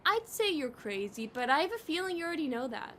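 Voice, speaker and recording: Woman acting a blunt sarcastic ghost girl, high voice, audio drama, noisy background. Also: very animated, fast, a bit bright. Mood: sardonic. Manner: sardonic, blunt. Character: blunt, skeptical, sardonic female faceless ghost.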